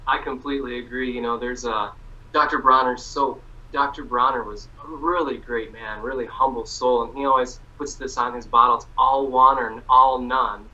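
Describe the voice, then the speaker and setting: An easygoing man talks as a guest musician in a friendly chat. His voice is very bright and forceful, and the recording sounds dull and lo-fi. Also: medium pitch, fairly even intonation, moderate pace. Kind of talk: casual interview chat.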